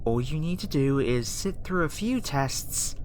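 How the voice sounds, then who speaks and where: A woman's voice: low-pitched, animated, unhurried, a little mellow, slight background noise. A woman playing an earnest, easily flustered alien girl researcher in an ASMR roleplay, speaking hesitantly and reassuringly. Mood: calm, explaining.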